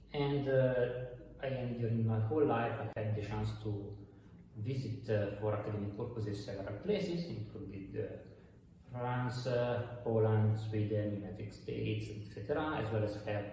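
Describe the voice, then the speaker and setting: Italian dean, hesitant speech with fillers, mellow low voice, distant mic in an echoey hall. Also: male voice, slight background noise. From Italy. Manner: hesitant, many fillers and restarts. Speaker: university dean. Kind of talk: interview answers.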